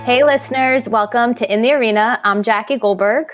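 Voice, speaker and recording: A woman's voice: a little high, fairly quick, music in the background. A woman co-hosting a podcast interview in an effusive, enthusiastic, conversational manner. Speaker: podcast co-host. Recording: slightly dull, lo-fi voice track.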